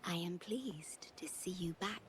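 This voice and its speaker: An actress playing a serene, mysterious guardian in a video game, speaking in a gentle, solemn, breathy way. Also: female voice, fairly low pitch, unhurried, natural voice. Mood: tender, solemn. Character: serene, mysterious female guardian, tender and patient. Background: game music.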